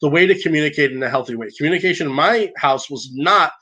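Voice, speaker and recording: Loud, bright-voiced man talking earnestly. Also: fairly high pitch, quick pace, slightly rough. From grew up in a divorced family. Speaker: podcast guest. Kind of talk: conversational podcast interview.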